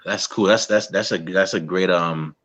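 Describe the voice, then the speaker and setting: Deep-voiced male interviewer from Guyana, halting and casual, dull muffled recording. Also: fairly even intonation, a bit bright. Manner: halting, frequent restarts and "you know". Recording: dull lo-fi sound.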